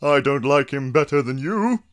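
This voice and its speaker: A man voicing an overdramatic, foul-mouthed teenage goth vampire girl in a drawn-out, exaggerated way for a story reading. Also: medium pitch, expressive, lightly acted. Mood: dramatic, gushing.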